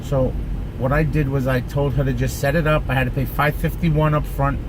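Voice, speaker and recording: A man's voice: medium pitch, fairly quick. A man in his forties telling a chatty, exasperated story to his viewers from inside his car. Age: 40s. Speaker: vlogger. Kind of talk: casual rant to camera. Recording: steady air conditioning hum.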